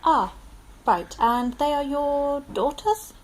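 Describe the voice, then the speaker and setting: A chatty village police sergeant, voiced by a woman talks in a breezy, folksy way. The voice sits high and swings widely in pitch. Amateur audio drama. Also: lively intonation, slow pace.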